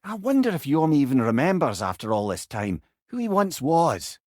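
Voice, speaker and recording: Game role: witty old Scottish storyteller god, eloquent and wry, animated intonation, dry studio sound. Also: male voice, fairly high pitch, normal speed, no echo. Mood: amused, musing. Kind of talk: video game character dialogue, storytelling banter.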